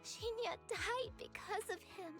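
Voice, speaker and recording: A young child from a video game with an extremely high voice. The delivery is earnest and protective. Light music plays underneath. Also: female voice, unhurried. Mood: grave.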